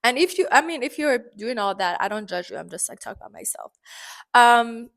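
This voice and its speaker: A woman from Iran with an Iranian accent, speaking English as a second language, chatting expressively in a casual vlog full of fillers and sudden pitch jumps. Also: lively intonation, fairly quick, vocal fry, a bit bright. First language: Farsi. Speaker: vlogger.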